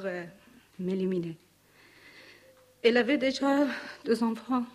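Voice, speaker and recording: Iranian woman, 40s, Persian accent, reads testimony slowly from notes, mid pitch. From Iran. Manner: careful, measured reading with pauses. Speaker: speaker giving testimony.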